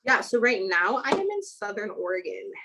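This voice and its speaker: A young woman from Chicago talks casually as a podcast guest. She chats freely and peppers her speech with "like". Her voice sits at a middle pitch. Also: big pitch swings, normal speed, bright, forceful tone. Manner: chatty, many "like" fillers. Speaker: student midwife, guest. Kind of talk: casual interview chat.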